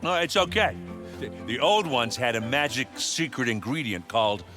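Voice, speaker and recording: Comic sidekick: cocky, affectionate joker, punchy bright tone, very animated, game cutscene. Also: male voice, medium pitch, normal speed. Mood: relaxed, playful. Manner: jokey, laid-back, boastful. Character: cocky, goofy, affectionate donut-loving male sidekick. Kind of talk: comedic video game cutscene acting. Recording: music underneath the voice. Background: music.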